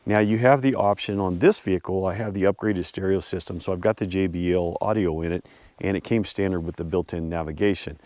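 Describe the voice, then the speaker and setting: A man hosting a vlog outdoors, a bit congested and hoarse from a cold, rambling casually with lots of fillers. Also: sits low, fairly quick, a little mellow, no room sound. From US Pacific Northwest. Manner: rambling, many fillers and restarts. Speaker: vlog host reviewing his truck. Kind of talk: casual talk to camera. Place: outdoors at a snowy off-road area.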